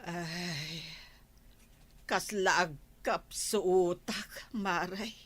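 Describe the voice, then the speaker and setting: A woman's voice: on the low side, extremely slow, a bit bright, slightly rough, heavily acted. A woman with a Filipino Ilocano accent playing a rueful village wife cheated of her savings, sighing through a radio comedy drama. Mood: worried.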